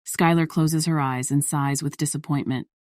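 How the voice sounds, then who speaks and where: A woman's voice: low-pitched, steady tone, moderate pace, darkish tone, no room sound, studio quality. A woman reading scripted story narration in the role of narrator.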